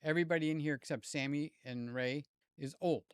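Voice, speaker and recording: An older man hosts a casual live stream, joking and calling out viewers by name. His voice is a little hoarse and sits a touch high for a man. He sounds close and dry on a desk mic at home. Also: brisk, no room sound. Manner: rambling, jokey, talks to chat by name. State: slightly hoarse. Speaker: livestream host. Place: home room with fans and air conditioner. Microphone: desk mic near the mouth.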